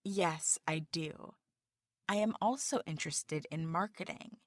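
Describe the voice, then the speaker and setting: A woman reading the applicant's part in a scripted practice job interview, with careful, deliberate delivery. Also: low voice, no room sound, clean studio sound.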